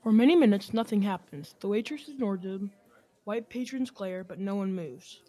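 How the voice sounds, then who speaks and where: Female narrator, soft-edged dark tone, mid pitch, reading a radio play over light music. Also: normal speed, rough recording. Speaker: narrator of a class radio drama. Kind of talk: scripted narration.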